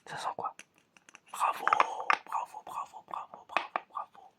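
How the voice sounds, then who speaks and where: A fully whispered ASMR voice with a bright, crisp edge. The speaker plays an examiner in a casual, informal way, gently repeating words and encouraging the listener through a roleplay test. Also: measured pace. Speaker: ASMR creator playing an examiner.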